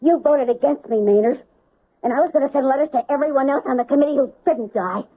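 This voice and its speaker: A woman's voice: high voice, quick pace, close to an everyday voice. An actress playing a bitter, defensive woman accused of extortion in a 1970s radio drama. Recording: tinny, dry old radio broadcast.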